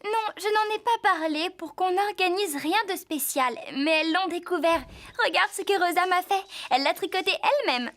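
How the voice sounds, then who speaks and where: A woman voicing a modest, warm-hearted teenage rider in a cartoon dub, sincere and polite. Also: very high-pitched, normal speed. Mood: pleased, eager.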